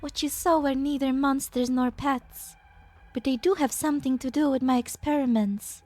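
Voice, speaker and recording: A woman voicing a serene, unafraid scientist with a soft voice in a game fan dub. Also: sits high, fairly even intonation, normal speed, faint music behind, natural voice. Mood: serene, gently warning.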